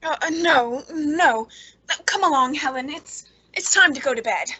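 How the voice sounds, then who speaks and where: A woman's voice: high voice, normal speed, pushed and bright, no echo, low-quality recording. A woman playing a lonely, frightened, unstable spinster in an old-time radio suspense drama, with a nervous, intense manner. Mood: guarded, nervous.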